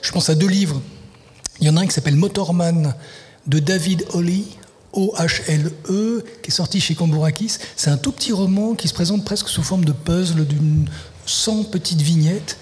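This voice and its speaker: A man's voice: on the high side, normal speed, a little mellow, breathy edge. A man, a literary translator, answering interview questions in a thoughtful, hesitant way, often restarting his sentences. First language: French. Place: festival venue, live broadcast.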